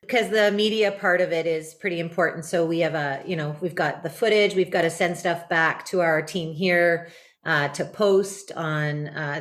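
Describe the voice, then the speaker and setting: A woman guest in an interview, talking relaxed with many fillers. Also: mid-range voice. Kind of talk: casual interview talk.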